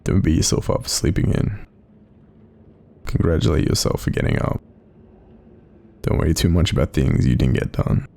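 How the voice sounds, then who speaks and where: Man playing a very deep, gravelly Australian demon, gentle. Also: big pitch swings, moderate pace, long pauses, exaggerated voice. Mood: soothing, reassuring. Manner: gentle, reassuring, informal. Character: kind, supportive male sleep paralysis demon watching over someone. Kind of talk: soothing roleplay audio monologue.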